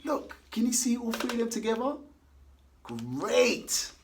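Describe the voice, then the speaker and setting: Animated man reading to kids. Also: extremely high pitch, a little slow, creaky, noisy background. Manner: animated, coaxing, asks the kids questions. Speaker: children's story reader. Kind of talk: reading a picture book aloud to children.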